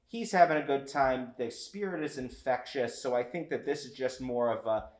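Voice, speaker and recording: A man's voice: medium pitch, normal speed. A man directing an audio drama, giving notes in an echoey room sound.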